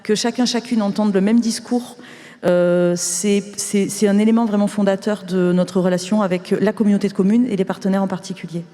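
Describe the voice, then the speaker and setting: A woman's voice: mid-range voice, fairly even intonation, brisk, mellow. A woman speaking spontaneously as a panelist about her organisation's work. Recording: reverberant hall sound.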